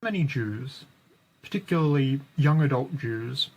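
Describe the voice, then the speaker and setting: Male video commentator, medium pitch, critical and articulate scripted delivery. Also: expressive, a little slow, low-quality recording. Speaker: video creator.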